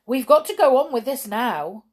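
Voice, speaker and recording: A woman's voice: high-pitched, very animated, takes it slowly, fairly bright tone, voice pushed up, exaggerated voice. A woman voicing a cross, bossy male judge in a children's storybook, curt and commanding.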